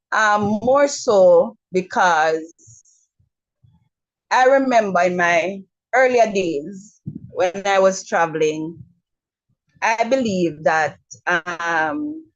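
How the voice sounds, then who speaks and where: A middle-aged woman with a Jamaican accent talks slowly and frankly, often doubling her words and filling gaps with ums. She is speaking over a video call, and the sound is slightly muffled and dull. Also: fairly low pitch. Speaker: webinar attendee. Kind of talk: casual remarks on a video call.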